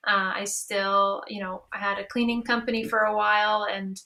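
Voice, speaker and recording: A woman's voice: mid-range voice, little pitch movement, normal speed, pushed and bright. A woman chatting casually and informally on a panel as a creative artist. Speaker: musician and audio drama creator on a panel.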